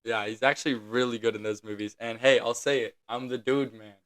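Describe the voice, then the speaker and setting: A young man co-hosts a loose podcast chat with a raspy, bright, edgy voice. He rambles and pokes fun at himself as he talks. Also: medium pitch, steady tone, fairly quick, dry recording. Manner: rambling, self-mocking. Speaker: podcast co-host. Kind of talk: casual chat.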